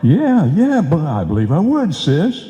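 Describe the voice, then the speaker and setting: A man's voice: a little high, huge pitch swings, measured pace, warm tone. A man playing a folksy, kindly, wry neighbor in a live old-time radio comedy. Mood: genial, proud. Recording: dull, echoey hall stage recording.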